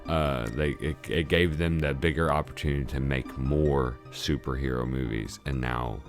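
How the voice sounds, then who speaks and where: Man, very deep voice, laid-back, enthusiastic, casual podcast chat, faint music underneath. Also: fairly even intonation, moderate pace. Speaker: podcast co-host.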